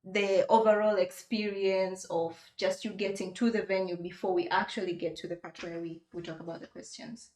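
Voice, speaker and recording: A woman's voice: mid-range voice, fairly even intonation, moderate pace, hardly any pauses, darkish tone, reverberant, rough recording. A young woman from Tanzania hosting a casual interview chat, upbeat and laughing often. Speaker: host.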